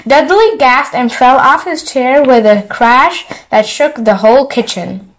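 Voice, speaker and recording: A woman's voice: high-pitched, a little slow, rough recording. A woman storyteller narrating a bedtime story, reading it aloud from a book. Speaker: storyteller, channel host.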